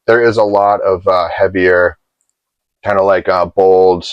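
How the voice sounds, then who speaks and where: A man's voice: deep voice, fairly even intonation, a little slow, clean tone, dry recording, lo-fi sound. A man from Boston with a faint Boston accent, a tattoo artist guest telling stories in a rambling, filler-heavy way. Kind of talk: casual storytelling interview.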